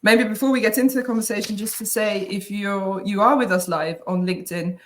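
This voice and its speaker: A woman's voice: mid-range voice, a little fast, slight background noise. A woman in her thirties co-hosting a live online work talk over a video call, casual and chatty with frequent tag questions. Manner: casual, uses tag "right?" often. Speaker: head of client accounts, co-host. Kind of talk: webinar conversation.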